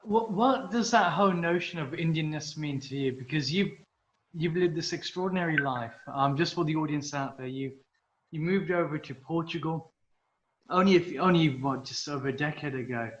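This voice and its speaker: Man interviewing, slightly high voice. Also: normal speed, fairly bright tone. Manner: thoughtful, builds up long questions. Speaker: interview host. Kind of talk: interview questions. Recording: dull lo-fi sound.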